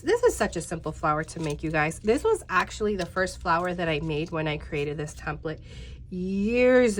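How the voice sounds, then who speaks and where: A woman in her thirties talks viewers through a craft project in a chatty, easygoing way. She sounds congested and slightly nasal, her voice sits a little low, and a faint noise floor hums under the close recording. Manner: chatty, talks straight to viewers, many fillers. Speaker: crafting tutorial host. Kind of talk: casual step-by-step craft tutorial.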